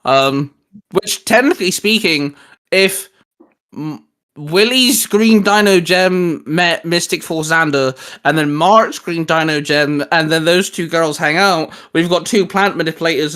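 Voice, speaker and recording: A man's voice: a little high, measured pace, a bit bright. A man with an English accent hosting a casual, geeky chat, explaining things in a hesitant, rambling way. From England. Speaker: host of a fan discussion channel. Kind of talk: casual geeky discussion among friends.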